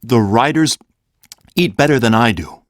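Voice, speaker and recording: A man playing a kind, grave fantasy king in a full-cast audiobook, speaking in a gentle, measured, earnest way. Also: mid-range voice, expressive, dry recording, clean studio sound, lightly acted. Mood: friendly, amused.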